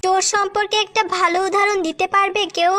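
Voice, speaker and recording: A woman's voice: extremely high pitch, flat delivery, brisk, music in the background. A woman voicing a female schoolteacher quizzing her pupil in a cartoon joke sketch. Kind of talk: Bangla cartoon joke dialogue.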